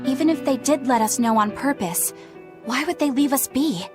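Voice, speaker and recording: A woman's voice: high voice, normal speed, over music, natural voice. A woman playing earnest young exorcist Eleanor. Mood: serious. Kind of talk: game dialogue dub. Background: game score.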